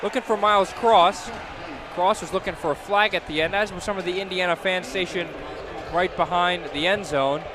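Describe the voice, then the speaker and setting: A man calling football play-by-play live on radio, building to excited calls on big plays. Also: high-pitched, normal speed, a crowd in the background. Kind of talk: live radio sports commentary. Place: live football game broadcast.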